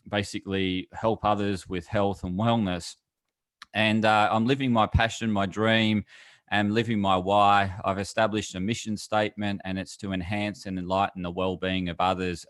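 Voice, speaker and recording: A man's voice: deep voice, fairly bright tone, no room sound. A middle-aged man speaking conversationally as an interview guest and wellness coach.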